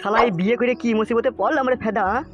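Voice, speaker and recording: A man's voice: very high-pitched, racing, highs cut off, loud music behind the voice. A man voicing a crude, henpecked young husband who complains in exasperation in a comedy cartoon, with a rural West Bengal accent. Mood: exasperated.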